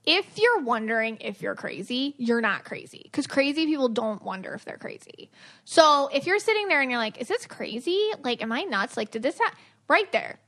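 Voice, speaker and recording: A woman co-hosts a podcast in loose, casual conversation. Her voice sits a little high. She talks in a rambling way, full of fillers and quick laughs. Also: sing-song, fairly bright tone. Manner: chatty, many fillers and laughs. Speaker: podcast co-host. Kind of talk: casual chat.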